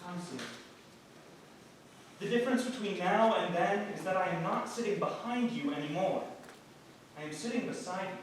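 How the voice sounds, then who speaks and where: A man acting a devoted, gently playful husband from early modern England in a staged play reading, earnest and tender in delivery. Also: sits high, normal speed, long pauses, natural voice. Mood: tender, reassuring. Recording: roomy theatre, distant mic, faint noise.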